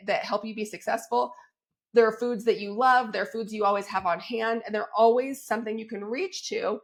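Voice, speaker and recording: Woman fitness coach, fairly high voice, gravelly and crackly from a cold, upbeat and chatty. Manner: upbeat and chatty, keeps checking in with "right?" and "okay?". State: has a cold, voice crackly and squeaky. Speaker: fitness coach and podcast host. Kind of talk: solo podcast, coaching talk.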